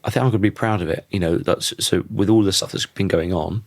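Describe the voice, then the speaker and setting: A man in his thirties with a croaky voice, a podcast guest talking thoughtfully and often restarting his sentences. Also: low-pitched, fast talker, no room sound. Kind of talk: reflective conversational interview.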